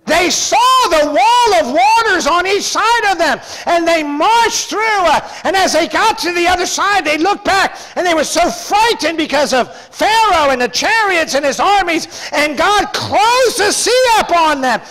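A man's voice: very high-pitched, lively intonation, measured pace, hardly any pauses, pushed and bright, poor audio. A middle-aged man preaching a sermon in a church as pastor, speaking in an emphatic, folksy, conversational way. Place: church sanctuary, preaching from a pulpit.

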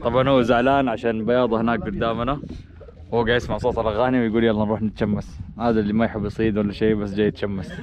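A man's voice: fairly low pitch, flat delivery, very fast, a crowd in the background. A young Saudi man with a Hijazi accent, hosting a casual vlog and teasing his friends out at sea. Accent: Saudi Hijazi Arabic. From Saudi Arabia. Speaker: vlogger host. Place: small boat and sea, outdoors. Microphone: action camera. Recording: outdoor boat noise and wind.

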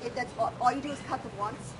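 High-voiced woman guide in noisy outdoor recording. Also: quick pace. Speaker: walking tour guide, plant educator. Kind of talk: informal outdoor talk and answering questions. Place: outdoors in a wooded riverside park. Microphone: camera among the crowd, a few metres off. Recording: outdoor camera recording with loud background noise.

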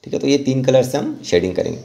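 An Indian man giving an informal step-by-step tutorial voice-over as an instructor, halting and repeating himself as he explains. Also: medium pitch, huge pitch swings, creaky, dark tone. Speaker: rangoli art instructor.

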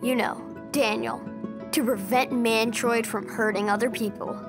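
Eager kid superhero fan, unhurried and a little husky-gritty, for a game. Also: female voice, a little high, fairly even intonation, over music. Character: earnest imaginative young boy playing superhero. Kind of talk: video game cutscene acting.

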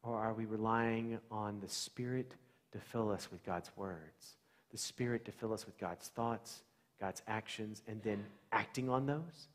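A middle-aged American man preaching a conversational sermon, with animated storytelling, rhetorical questions and dramatic pauses. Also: fairly low pitch. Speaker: pastor. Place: large church sanctuary, indoors, before a congregation. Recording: reverberant church hall.

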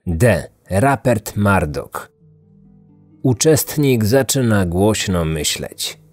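A man's voice: fairly low pitch, lively intonation, drawn-out words, darkish tone, faint music behind. A man narrating a scripted true-crime story as a podcast host.

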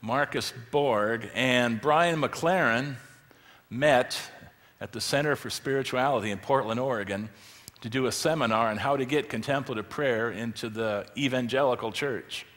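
A man's voice: mid-range voice, normal speed, a bit bright. A middle-aged man giving a conversational lecture from a church stage, full of fillers and rhetorical questions. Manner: moves about gesturing with a remote. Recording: long hall reverb.

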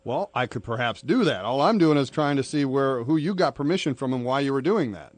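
A man's voice: mid-range voice, lively intonation, brisk, dry recording. An American man, a radio host making a prank phone call in a conversational, matter-of-fact but insistent way. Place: radio studio, dry close sound.

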